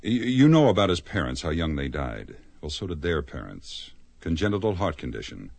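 A grave, secretive doctor, has an extremely deep, husky voice. His dramatic delivery moves expressively, in a close, dry recording. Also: big pitch swings, normal speed, a little mellow, no echo, full character voice. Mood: grave, hurried. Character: grave male doctor, loyal friend, brisk and secretive. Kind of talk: dramatic acting in a scripted drama.

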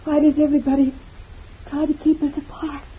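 A woman's voice: high-pitched, level tone, fairly quick, lightly acted. A woman voicing an injured, delirious wife calling weakly for her husband in an old radio drama. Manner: faint, dreamy, yearning. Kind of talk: 1940s radio acting. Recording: tinny old radio recording from off-mic.

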